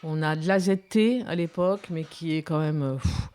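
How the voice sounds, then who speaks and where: Soft-spoken, hesitant woman in her fifties. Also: sits low, moderate pace. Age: 50s. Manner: hesitant, many fillers and restarts. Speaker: documentary filmmaker. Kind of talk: reflective interview answers.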